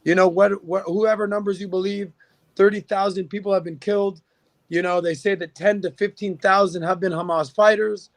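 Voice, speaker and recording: High-voiced gravelly man arguing with numbers. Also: steady tone, normal speed, no room sound. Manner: opinionated, argues with numbers, many fillers. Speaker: podcast guest. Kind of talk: casual political chat.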